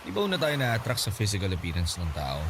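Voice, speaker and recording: Mapagbirong binatang lalaki. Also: male voice, low-pitched, wildly swooping pitch, fast talker, heavily acted. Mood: playful. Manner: teasing, playful, laughs often. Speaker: radio drama actor. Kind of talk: radio drama acting. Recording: noisy studio recording.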